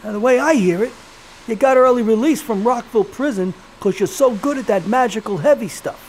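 A man's voice: very high voice, brisk, a little mellow, a little hiss or hum, rough recording. A man playing a sly, menacing American crime boss in a period gangster audio drama, full of streetwise slang and theatrical glee. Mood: boastful menace.

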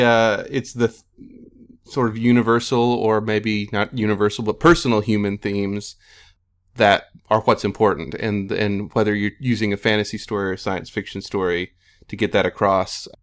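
A man's voice: lowish voice, level tone, normal speed. Man hosting a conversational interview, feeling out words. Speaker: podcast host interviewing authors.

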